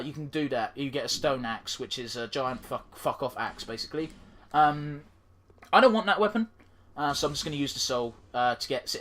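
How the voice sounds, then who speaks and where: A man's voice: fairly high pitch, fairly bright tone. Chatty man with a blocked nose. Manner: chatty, swears casually, trails off with fillers. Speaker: gaming commentator. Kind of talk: casual let's-play commentary.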